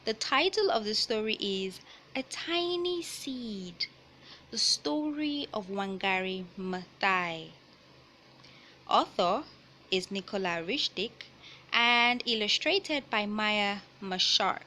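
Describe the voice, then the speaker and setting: Bright-voiced woman reading aloud, slow pace. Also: medium pitch, animated, a little hiss or hum. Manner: deliberate, explanatory, teacherly, repeating key points for young listeners. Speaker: storyteller reading a children's picture book aloud. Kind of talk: read-aloud storytelling with explanatory asides.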